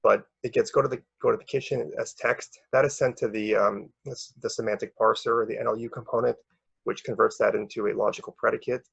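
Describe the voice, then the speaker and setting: Male academic presenter, very husky and gravelly, halting delivery, slightly muffled recording. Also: mid-range voice, steady tone, fairly bright tone, no echo. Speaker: researcher presenting a paper.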